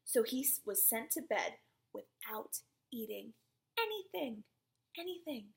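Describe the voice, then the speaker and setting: A young woman reading a picture book aloud to small children, asking them questions and often dropping into hushed asides. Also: a little high, creaky. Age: young adult. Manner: animated, asks the child listener questions and pauses for answers. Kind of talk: interactive storybook read-aloud for small children.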